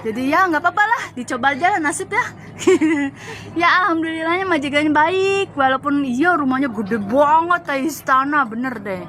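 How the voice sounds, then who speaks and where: A young Javanese woman recounting her years as a domestic worker abroad in a lively casual chat, laughing often. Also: high voice, moderate pace. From Java, Indonesia. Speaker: former migrant domestic worker.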